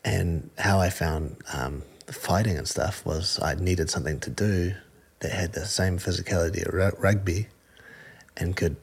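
A man with a New Zealand accent, a fighter, answering interview questions in an earnest, halting way with many fillers. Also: deep voice, gravelly, slightly rough. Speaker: combat sport fighter, guest.

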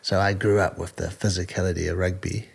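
A man with a New Zealand accent talks through an interview in an earnest, halting way, stopping and restarting his sentences. His voice sits very low, with a husky grain to it. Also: level tone. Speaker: combat sport fighter, guest. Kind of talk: casual interview answers.

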